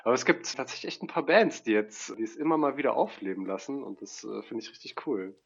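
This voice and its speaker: A male podcast guest with a cold, speaking haltingly and stopping often to search for words. His voice is gravelly, and the recording sounds dull and lo-fi. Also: medium pitch. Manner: hesitant, halting, laughs a lot. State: has a cold.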